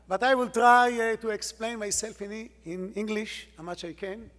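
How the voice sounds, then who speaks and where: A man with an Israeli accent, a native Hebrew speaker, giving a formal acceptance speech as a rabbi in slow, halting English with loud emphatic bursts. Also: sits high, fairly even intonation. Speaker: rabbi receiving an award. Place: large hotel ballroom. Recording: reverberant banquet hall.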